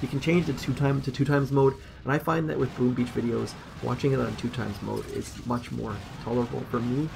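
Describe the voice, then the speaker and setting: A man's voice: medium pitch, fairly even intonation, brisk, gravelly, hardly any pauses, background noise. A man, likely in his thirties, casually commenting on his own mobile gameplay at home, thinking aloud and poking fun at himself. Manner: chatty, self-deprecating, thinks aloud. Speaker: gaming channel host. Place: home room.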